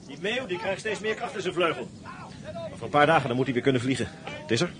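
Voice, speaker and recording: An actor playing an eager, impatient fellow prisoner in a radio play. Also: male voice, a little high, big pitch swings, fast, a crowd in the background.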